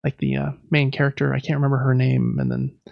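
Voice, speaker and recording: A man's voice: medium pitch, little pitch movement, fast talker, gravelly, no echo, dull audio. A man hosting a podcast, talking casually and explaining things in an informal way. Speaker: podcast host. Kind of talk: casual podcast talk about board games.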